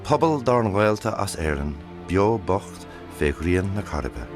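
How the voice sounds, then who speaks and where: A man with an Irish accent reading scripted documentary narration in a measured, solemn way. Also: deep voice, lively intonation. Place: voice-over studio. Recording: voice-over with loud music bed.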